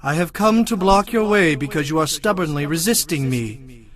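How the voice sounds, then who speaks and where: A man's voice: fairly high pitch, animated, normal speed, close to an everyday voice. A man playing a stern, commanding angel of the Lord with a demanding manner in a dramatized scripture reading. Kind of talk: dramatized scripture audio acting.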